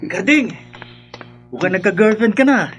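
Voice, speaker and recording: A nosy young housemate who likes to tease. His voice is fairly high and inquisitive, Filipino-accented, with clear music behind this radio drama performance. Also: expressive, moderate pace. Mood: teasing, curious. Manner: teasing, inquisitive. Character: teasing, nosy young male boarding-house buddy. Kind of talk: horror radio drama acting.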